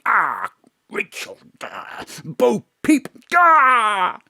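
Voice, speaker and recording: A woman's voice: medium pitch, huge pitch swings, drawn-out words, pushed and bright, full character voice. A woman voicing a doddering old male stage actor who declaims in a grand Shakespearean manner. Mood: confused. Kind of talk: radio play acting.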